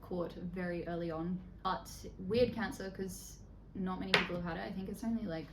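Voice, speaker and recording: A woman, a guest in a casual interview. She talks in a relaxed, frank way with many fillers. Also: lowish voice, brisk. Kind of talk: casual chat. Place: indoor room during a makeup session. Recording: echoey room, faint background hiss.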